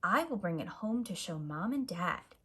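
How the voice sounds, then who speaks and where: Storybook boy cat, easygoing and curious, lively rising pitch, played by a female reader. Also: normal speed, a little hiss or hum.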